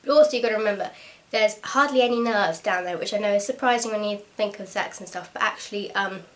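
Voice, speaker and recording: Young woman, slightly hoarse from a cold, frank and chatty vlogger, mid-range voice. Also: medium pitch, quick pace. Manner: chatty, frank and practical, with frequent ums. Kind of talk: casual instructional vlog.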